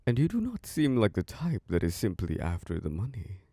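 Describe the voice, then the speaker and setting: A man voicing an ancient, charming and possessive male vampire lord who hosts a masquerade, speaking in a slow, seductive, close-mic ASMR roleplay with soft chuckles. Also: huge pitch swings, no room sound, full character voice. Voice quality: low gravelly voice. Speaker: castle host.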